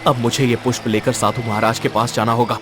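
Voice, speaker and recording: Man playing young prince, medium pitch, determined, earnest, dramatic, animated fairy tale, light music. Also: a little fast, close to an everyday voice.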